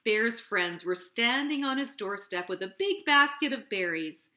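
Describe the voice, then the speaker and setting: A woman hosting children's storytime, narrating a picture book in an expressive, deliberate, sing-song way. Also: medium pitch.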